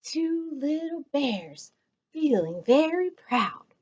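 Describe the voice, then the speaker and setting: Casting: American woman as children's storytime reader, very slow and encouraging, widely swooping pitch, dull lo-fi sound. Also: big pitch swings, darkish tone, no echo. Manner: sing-song, encouraging, addresses children directly. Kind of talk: children's read-aloud and counting rhymes. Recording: dull lo-fi audio.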